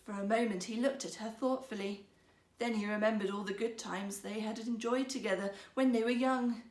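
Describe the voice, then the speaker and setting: A woman's voice: a little high, fairly even intonation, normal speed, far from the mic. A woman storyteller reading a fairytale aloud in a theatrical style. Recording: roomy, echoing sound.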